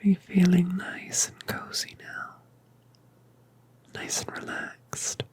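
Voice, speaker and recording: A woman voicing a colossal, tender mother dragoness in a whispered ASMR roleplay, speaking softly and soothingly. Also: on the low side, monotone. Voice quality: breathy whisper. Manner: soft, slow, soothing.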